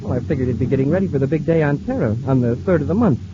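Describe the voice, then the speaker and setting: An actor playing a fumbling young space cadet. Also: male voice, medium pitch, lively intonation, racing, close to an everyday voice. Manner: hesitant, deferential, fumbling. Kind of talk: 1950s radio drama acting. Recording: muffled, hissy old radio transcription.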